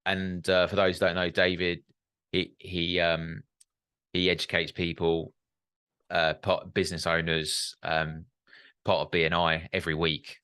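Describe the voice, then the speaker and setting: Low, bright-toned young host. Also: male voice, flat delivery, a little slow, smooth, no echo. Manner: hesitant, many fillers and restarts, tag questions. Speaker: podcast host. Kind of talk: casual interview chat.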